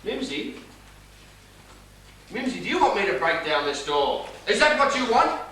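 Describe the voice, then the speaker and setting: A man's voice: high voice, very animated, moderate pace, full character voice. An actor playing an exasperated, blustering middle-aged father of a bride in a live comic stage play. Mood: threatening, exasperated. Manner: loud, blustering, exasperated, theatrical. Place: live stage in a small theatre. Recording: echoey theatre stage, distant mic, faint noise.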